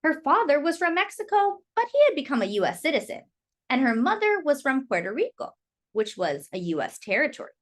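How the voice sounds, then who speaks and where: A woman reading a children's story aloud in an expressive, emphatic storytelling manner. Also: high-pitched, fairly quick. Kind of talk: expressive read-aloud narration with brief asides.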